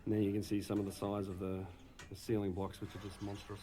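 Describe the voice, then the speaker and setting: A hoarse man with a low, mellow voice chats his way around old stone ruins, casually pointing things out to his viewers. He keeps the commentary loose and conversational. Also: completely flat delivery, fast, a little hiss or hum. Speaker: host on a site tour.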